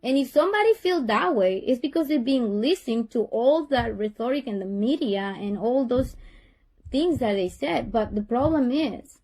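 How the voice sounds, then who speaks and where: Honduran woman, earnest and higher-pitched. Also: expressive, normal speed, a little mellow, rough recording. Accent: Honduran Spanish. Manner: earnest, repeats words and restarts often. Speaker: parent. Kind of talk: tense parent-teacher call.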